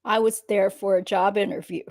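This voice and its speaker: A woman's voice: mid-range voice, a little fast, lightly acted. Woman as a guarded, terse female witness. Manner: clipped, minimal, flat answers. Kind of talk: reading a deposition transcript aloud.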